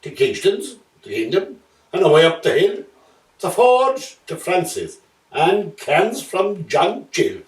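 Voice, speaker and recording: Male verse storyteller. Slow, theatrical delivery, recorded at a distance in a roomy space. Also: sits high, huge pitch swings. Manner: lilting, theatrical, measured storytelling. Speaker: poetry reader. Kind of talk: dramatic storybook reading of rhyming comic verse. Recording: roomy echo, distant from mic.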